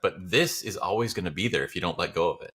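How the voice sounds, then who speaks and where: Male, fairly low pitch, forceful bright tone, informal podcast chat, encouraging. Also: lively intonation, quick pace. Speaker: podcast guest, audio drama creator. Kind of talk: casual chat.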